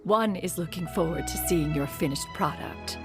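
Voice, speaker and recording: A woman's voice: on the low side, moderate pace, natural voice. An actress voicing an ancient, dignified female crane spirit who speaks formally and calls herself "one". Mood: lofty, wise. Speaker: mentor. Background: game soundtrack music.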